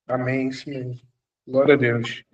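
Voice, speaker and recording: A man's voice: mid-range voice, flat delivery, moderate pace, dull audio. A man, an apostle, teaching an online church class, exhorting students and repeating his commands, at times laughing as he tells stories.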